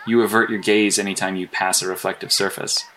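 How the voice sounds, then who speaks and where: Audio drama: awkward, sincere male agent played by a man, lowish and bright, halting and apologetic. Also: flat delivery, some chatter behind, a little hiss or hum. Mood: awkward, candid. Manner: halting, stammering, earnest delivery. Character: apologetic, earnest, socially awkward male hero-industry agent. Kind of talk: naturalistic audio drama acting.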